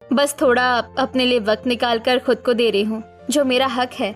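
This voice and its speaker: A woman's voice: on the high side, steady tone, normal speed, faint music behind, close to an everyday voice. A woman voicing a young, supportive and assertive daughter-in-law in a cartoon family drama, earnest and firm.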